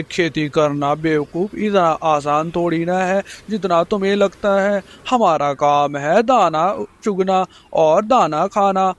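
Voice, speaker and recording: A man voicing a dismissive male crow in a children's cartoon, speaking emphatically. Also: high voice. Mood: mocking. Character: scornful male crow, dismissive naysayer of the forest.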